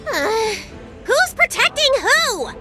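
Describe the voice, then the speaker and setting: A woman's voice: very high-pitched, big pitch swings, slow pace, sharp tone, faint music behind, full character voice. A woman playing a tiny, cheeky, bossy fairy guide in a video game, with a sassy, sing-song delivery. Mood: sardonic. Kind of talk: video game cutscene acting.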